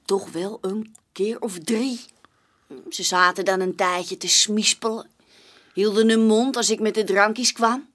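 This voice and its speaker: An actress playing a young, plain-spoken barmaid giving witness testimony in a chatty, colloquial way in a radio play. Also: female voice, a little high, fairly bright tone, heavily acted. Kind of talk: naturalistic radio play dialogue.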